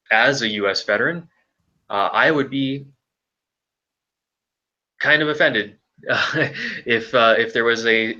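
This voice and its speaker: Bright-toned man, measured and hesitant. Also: on the low side, highs cut off. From Hawaii. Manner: measured, hesitant with frequent pauses. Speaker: guest, history video creator. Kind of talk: podcast discussion.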